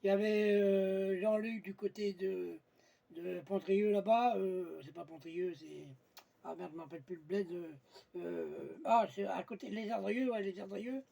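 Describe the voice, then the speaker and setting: A man's voice: high-pitched, unhurried, gravelly, dark tone. A man chatting casually on amateur radio, blunt and colloquial, often searching for his words. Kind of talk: casual radio contact chat.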